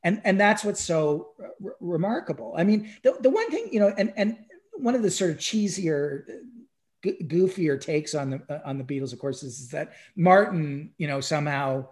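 Podcast discussion voice: mid-pitched, halting and conversational, repeats words, tags phrases with "right?". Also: expressive, darkish tone. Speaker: podcast speaker. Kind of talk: casual discussion of music history.

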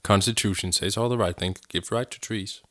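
A man's voice: on the low side, steady tone, moderate pace, a loud crowd. A man co-hosting a podcast in a casual, conversational discussion style. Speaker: podcast co-host.

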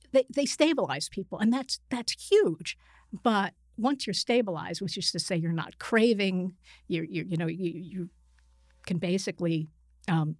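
A woman speaking as an expert guest in a conversational interview, explaining things haltingly and often correcting herself. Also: mid-range voice, darkish tone, no echo, studio quality.

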